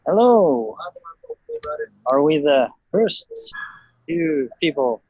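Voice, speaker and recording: A man calling into a group video chat from a bus on the road, talking haltingly with many fillers. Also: fairly high pitch, very animated, mellow, dry recording, poor audio. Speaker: discussion group member. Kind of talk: casual video call chat. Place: on a bus while traveling.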